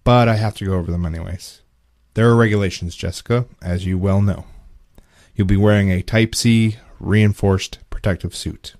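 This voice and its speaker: A woman's voice: rumbling low, fairly even intonation, moderate pace, a little mellow, no echo, clean studio sound, pitched below the performer's own voice. A woman playing a stern, by-the-book head nurse in an audiobook, with a formal, procedural way of talking. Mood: firm, formal.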